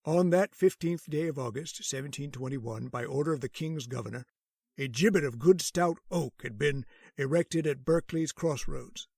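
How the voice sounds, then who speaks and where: A steady, bookish doctor in a radio horror play, his voice gravelly and a little husky, recorded dry and close. He talks in a measured, authoritative way. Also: a little high, no echo. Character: calm, learned hospital doctor. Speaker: voice actor. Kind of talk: old-time radio drama acting.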